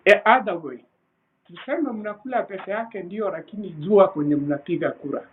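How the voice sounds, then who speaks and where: A man's voice: high-pitched, moderate pace. Kenyan guy calling in to a talk show, earnest, repeats himself, thin phone sound, light hiss. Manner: earnest, repetitive, mixing English and Swahili. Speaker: panelist. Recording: tinny phone line with faint noise.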